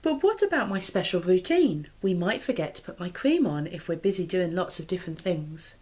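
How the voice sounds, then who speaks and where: A woman's voice: on the low side, sing-song, a little fast, darkish tone. Woman reading as an eager young storybook girl. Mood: worried.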